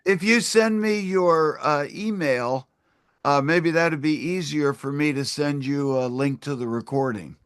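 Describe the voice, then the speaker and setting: Man running a zoom q&a, kinda high voice, polite and thankful, dry close sound. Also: unhurried, smooth, dry recording. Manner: polite and appreciative. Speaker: host and moderator. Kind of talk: moderating an online Q&A. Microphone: video call.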